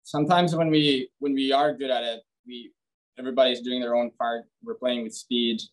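A man's voice: medium pitch, steady tone, moderate pace, poor audio. A young man, a hockey player, answering reporters in a post-game video interview, blunt and plain-spoken with frequent restarts. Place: team media room, press backdrop, indoors.

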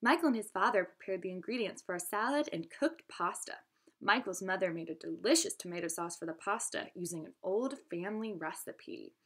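A woman's voice: mid-range voice, a little fast, fairly bright tone. A young adult woman reading a storybook aloud expressively, in an instructive manner, as a teacher does for students. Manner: instructive, addresses listeners with questions.

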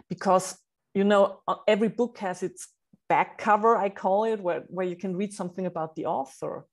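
A middle-aged woman whose first language is German, explaining her book coaching earnestly in a podcast interview, with many fillers and restarts. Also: lowish voice.

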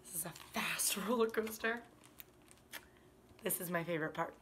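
A woman in her thirties, a kindergarten teacher, reading a picture book aloud to children in an animated, expressive way. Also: fairly low pitch, a little hiss or hum. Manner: animated, expressive, drawn-out emphasis on words. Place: small living room by a fireplace, indoors.

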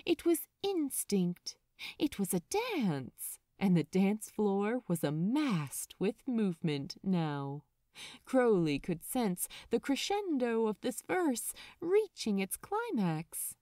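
A woman reading a story as an audiobook narrator, expressive, dramatic and measured. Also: medium pitch, dry recording, clean studio sound.

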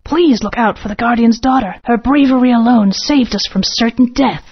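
A woman's voice: fairly high pitch, moderate pace, slightly rough. An actress playing a brave young female dinosaur, a chieftain's daughter, earnest and urgent, in dull lo-fi game audio.

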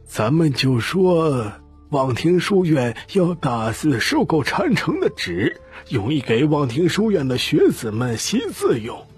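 A man voicing a shrewd old patriarch in an audiobook, calm and scheming, with deliberate, weighty delivery. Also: a little high, husky, soft background music, pitched above the performer's own voice, heavily acted. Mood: calculating.

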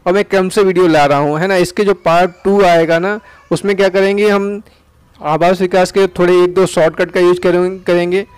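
A man's voice: sits high, fairly even intonation, a little fast, clean tone, no room sound. A young man from India with an Uttar Pradesh Hindi accent, casually narrating a ride through town streets outdoors as a chatty vlogger. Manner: chatty, tag questions like "है ना".

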